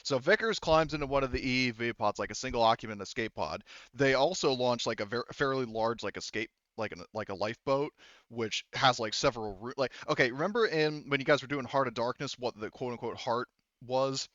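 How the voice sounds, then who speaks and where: A man running a tabletop game as game master, talking casually and haltingly and swearing freely. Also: medium pitch, fairly bright tone, no room sound, dull audio.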